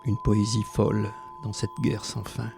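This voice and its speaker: An actor playing a reflective former resistance fighter recounting wartime memories in literary, scripted narration. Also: male voice, fairly low pitch, completely flat delivery, a little slow, vocal fry, music in the background. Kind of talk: scripted narration in an audio drama.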